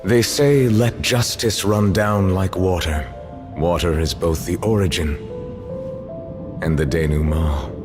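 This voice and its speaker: Grave lofty judge from a game, really low voice, slow formal delivery, big music underneath. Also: male voice. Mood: solemn. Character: solemn male chief judge, measured and lofty, not quite human. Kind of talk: scripted game character trailer monologue. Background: orchestral music.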